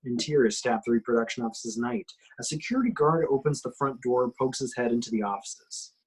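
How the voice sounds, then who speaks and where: A man with a medium-pitched, slightly rough voice narrates a film script. He reads scene headings and action lines as the narrator of an amateur table read. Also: animated, a little fast, low-quality recording. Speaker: action-description reader.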